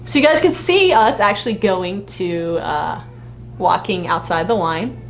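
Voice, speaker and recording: A woman co-hosting a casual live fan vlog, animated and joking, repeating phrases for emphasis. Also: lowish voice, unhurried, vocal fry. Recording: noisy home livestream audio.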